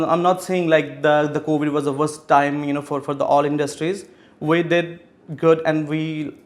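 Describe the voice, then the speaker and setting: A man from Pakistan in his thirties, a business guest answering interview questions with many fillers and restarts. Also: fairly high pitch. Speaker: real estate executive guest.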